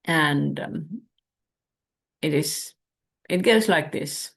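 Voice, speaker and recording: Slow, low-voiced woman, gravelly and calm. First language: Finnish. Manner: calm, measured, contemplative. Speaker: spiritual channel host. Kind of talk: reflective spiritual talk.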